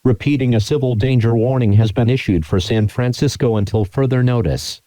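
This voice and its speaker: A man reading scripted formal emergency broadcast announcements in a flat, impersonal, measured way. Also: medium pitch, heavy background noise.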